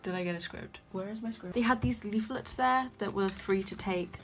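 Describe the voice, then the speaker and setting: Gushing young woman with soft voice. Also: medium pitch, moderate pace. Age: young adult. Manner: gushing, enthusiastic fan talk. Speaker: vlogger. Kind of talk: casual vlog chat to camera. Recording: faint background music.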